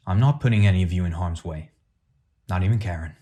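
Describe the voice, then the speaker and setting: A man's voice: sits low, fast talker, warm tone, close to an everyday voice. A man playing a grumbling, sarcastic superhero father in a radio play, with dry delivery. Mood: resigned, stern. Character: gruff, weary, sarcastic superhero dad.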